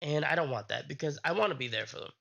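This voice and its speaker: A young adult man, sick with a strained voice, chatting casually on a live stream in a halting, rambling way. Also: fairly high pitch, expressive, creaky, a bit bright, highs cut off.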